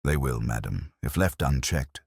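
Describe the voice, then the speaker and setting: A man voicing a commanding, shrewd detective in an audiobook, speaking in deliberate, authoritative statements. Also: extremely low pitch, expressive, vocal fry, darkish tone, slightly rough, dry recording, clean studio sound, heavily acted. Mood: grave.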